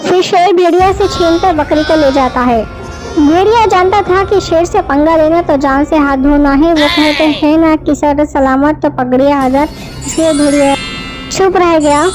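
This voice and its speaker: A woman with a high voice narrating a cartoon moral tale for children. She tells it in a plain, steady way. Music plays under her narration. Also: moderate pace, rough recording. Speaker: storyteller. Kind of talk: scripted narration of a moral story. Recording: background music.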